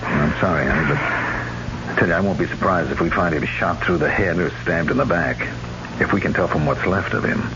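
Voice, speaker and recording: An actor in a vintage radio drama playing a skeptical, stubborn city-bred husband who speaks in a blunt, insistent way. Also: male voice, sits low, a little fast, lightly acted. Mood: suspicious. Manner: emphatic, insistent, plain-spoken. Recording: dull, noisy vintage radio recording.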